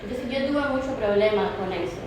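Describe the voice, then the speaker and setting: A woman's voice: mid-range voice, lively intonation, fairly quick, distant mic. A woman from Cuba with a Cuban accent, speaking earnestly as a panelist artist and restarting phrases as she goes. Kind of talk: reflective panel discussion. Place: exhibition space, indoors. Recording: echoey room with background noise.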